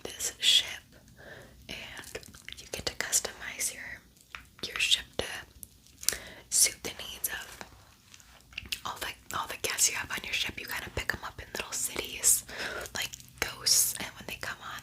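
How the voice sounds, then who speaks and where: A woman's voice: normal speed, bright, forceful tone, rough recording. Whispering woman doing casual ASMR. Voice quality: breathy, unvoiced whisper. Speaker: ASMR beauty vlogger. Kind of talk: whispered ASMR makeup tutorial, casual chat.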